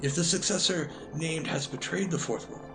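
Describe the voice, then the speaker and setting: An actor playing an ancient, grave wizard leader in an audio drama, weary and proud, speaking in formal, ceremonious phrases. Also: male voice, a little high, normal speed, music in the background, lightly acted. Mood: grave suspicion. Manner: formal, ceremonious, stately speech. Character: ancient Merlin, grave male founder and commander, weary, proud.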